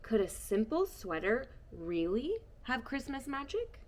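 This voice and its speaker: A woman reading a children's storybook aloud, expressive and shifting between hushed and bright tones. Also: a little high, unhurried, a little hiss or hum. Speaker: storybook reader. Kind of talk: children's book read-aloud.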